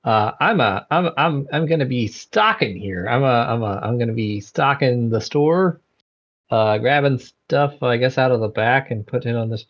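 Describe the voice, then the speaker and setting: A man plays a chatty, wide-eyed ghost from the eighties who rambles and marvels at modern things. His pitch swings a lot, and the recording is dull and lo-fi. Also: expressive, normal speed. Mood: rambling. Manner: rambling, chuckling, easily amazed. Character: naive male ghost from the eighties, cheerful.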